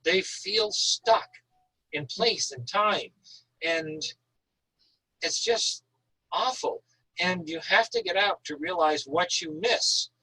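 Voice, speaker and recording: A man's voice: high voice, expressive, slow pace, long pauses, bright, forceful tone, husky, poor audio. An American man from Northern Maine, an author reading prose and talking informally about writing. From Northern Maine, USA. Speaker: author reading and discussing their own thriller.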